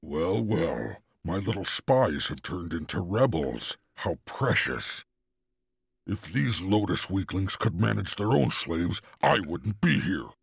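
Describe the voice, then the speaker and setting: A man playing a sneering, menacing slave overseer in a video game, with a gravelly voice and a mocking, domineering manner. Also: sits low, very animated, slow pace, no echo, clean studio sound, full character voice. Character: sneering, menacing male slave overseer, brutal fantasy warrior. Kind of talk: dramatic video game villain acting.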